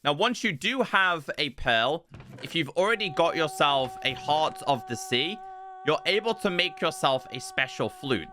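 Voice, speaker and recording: A man giving game showcase commentary as a video host, in an expressive, emphatic presenter's delivery. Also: a little high. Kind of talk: game showcase commentary, part scripted narration, part live reaction. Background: music.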